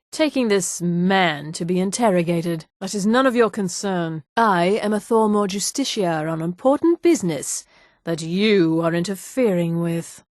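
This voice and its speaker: An actress playing a haughty female high elf in a fantasy video game, speaking in a measured, condescending way. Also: a little mellow, no room sound, clean studio sound, natural voice. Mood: haughty, cold.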